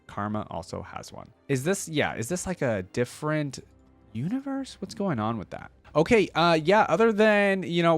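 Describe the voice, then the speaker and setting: A man's voice: mid-range voice, no echo. A man giving casual reaction commentary as a host, thinking aloud in an informal, exclamatory way.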